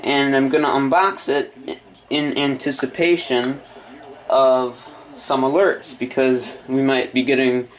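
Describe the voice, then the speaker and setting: A man casually narrating an unboxing and setup in halting, short muttered phrases. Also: mid-range voice, steady tone, poor audio. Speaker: hobbyist video maker.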